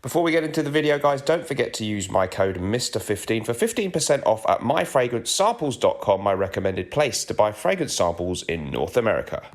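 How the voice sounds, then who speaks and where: A man's voice: on the low side, sing-song, fairly quick, few pauses. Upbeat British-based man hosting an interview. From United Kingdom. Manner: upbeat, chatty, lots of restarts. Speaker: host.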